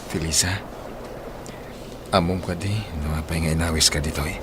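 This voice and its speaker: A man's voice: extremely low pitch, steady tone, moderate pace, noisy background. A man playing a devoted, protective young suitor in a radio drama. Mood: earnest, teasing. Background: loud music.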